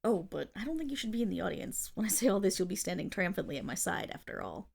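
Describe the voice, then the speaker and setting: A woman's voice: on the low side, very animated, fast talker, vocal fry, full character voice. A woman playing a theatrical but bashful, lovestruck female supervillain in an ASMR roleplay audio drama. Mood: eager, proud. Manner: theatrical villain declaiming that flips into flustered, affectionate chatter.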